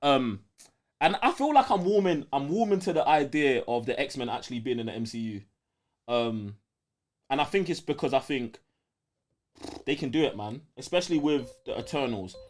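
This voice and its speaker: A man's voice: mid-range voice, moderate pace. A man with a London accent hosting a live podcast in casual, slangy banter. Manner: slangy, animated. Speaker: podcast host.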